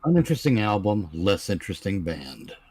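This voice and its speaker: A man's voice: fairly low pitch, sing-song, brisk, darkish tone. A man bantering casually as a panelist, with a dry, wisecracking manner. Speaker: panel member.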